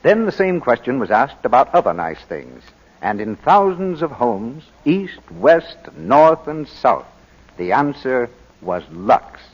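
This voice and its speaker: A man working as a radio announcer and pitchman, reading scripted advertising in 1940s style. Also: very animated, takes it slowly. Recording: muffled old 1940s radio broadcast.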